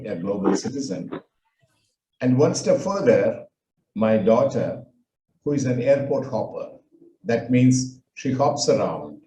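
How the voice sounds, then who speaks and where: An older man from India with a Tamil accent, giving a halting personal talk with many fillers. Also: medium pitch, mellow, reverberant, slight background noise, rough recording. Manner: halting, with frequent fillers and restarts. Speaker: speaker recounting his life.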